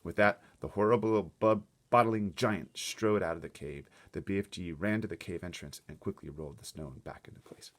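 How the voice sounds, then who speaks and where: A man reading a children's story aloud as narrator, expressive and dramatic, often dropping into hushed suspense. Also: low voice, fairly quick, hardly any pauses.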